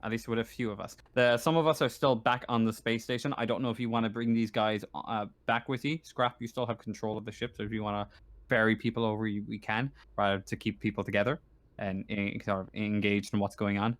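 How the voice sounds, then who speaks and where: Man, game master, lowish pitch, casual narration, hesitant phrasing. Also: level tone, a little fast.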